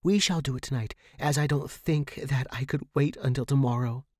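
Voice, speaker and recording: A man's voice: mid-range voice, normal speed, a little mellow, no room sound, studio quality, lightly acted. A man voicing a persuasive young woman, insistent and conspiratorial, in a horror story. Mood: conspiratorial, daring. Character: daring, persuasive young woman writer, scheming friend.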